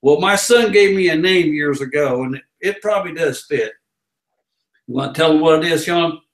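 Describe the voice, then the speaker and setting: A man's voice: a little high, normal speed. Folksy Southern older man, roomy sound. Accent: Southern American. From American South. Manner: folksy, loud carnival-barker storyteller. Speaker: interview guest. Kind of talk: storytelling in a casual interview. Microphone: far camera. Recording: roomy office echo.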